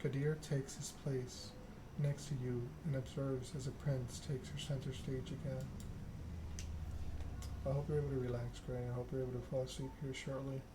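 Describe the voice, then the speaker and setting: A man reads narrative game text aloud for ASMR in a measured, soothing way. His mid-pitched voice stays very even, with noticeable noise and clicking behind it.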